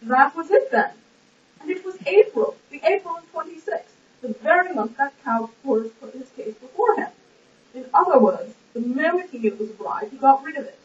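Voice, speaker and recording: A woman's voice: sits high, expressive, normal speed, slight background noise. A woman playing a blunt, indignant, argumentative nobleman in an amateur puppet-show stage play. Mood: defiant. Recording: echoey, muffled, distant lo-fi recording.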